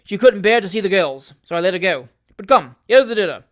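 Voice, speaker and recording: A reader playing Wardle, a hearty booming old squire. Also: lively intonation, a little fast, lightly acted. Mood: jovial. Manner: boisterous, loud, booming cheer. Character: jovial hearty old country gentleman. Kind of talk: audiobook character reading.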